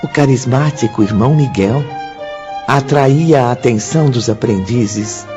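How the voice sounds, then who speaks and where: An actor as a grave, compassionate storyteller, narrating a radio drama in formal, expressive diction. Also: male voice, medium pitch, measured pace, natural voice. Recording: dull studio track with music behind.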